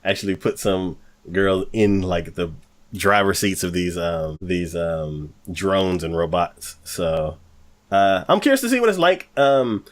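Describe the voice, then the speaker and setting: A man's voice: low-pitched, animated, unhurried. Rambling casual male podcast co-host. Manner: rambling, lots of "like" and "um" fillers. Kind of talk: casual anime podcast chat.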